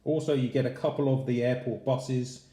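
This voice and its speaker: A man's voice: medium pitch, fairly even intonation, normal speed, warm tone. A man hosting a casual unboxing review, talking in a conversational, explanatory way. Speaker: channel host, model airport reviewer. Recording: roomy, echoey indoor sound.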